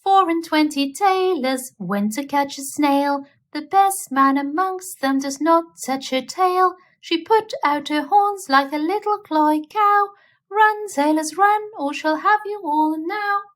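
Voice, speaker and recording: A woman voicing a chorus of tiny merry mice in a storybook, chanting nursery rhymes in a high sing-song. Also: slow, few pauses, fairly bright tone, full character voice. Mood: gleeful.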